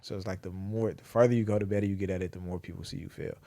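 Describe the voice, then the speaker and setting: A man's voice: sits low, steady tone, rapid-fire, gravelly, dark tone, no echo, clean studio sound. A young adult man, hosting a podcast and talking candidly about his fears in casual, profane speech. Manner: candid, profane, reflective storytelling. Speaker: podcast host. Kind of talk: casual chat.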